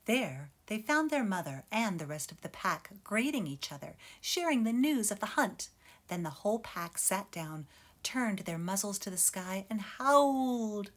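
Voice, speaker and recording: A woman reading a children's picture book aloud in a gentle, expressive way, as a teacher for her students. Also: mid-range voice, measured pace. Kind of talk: storybook read-aloud.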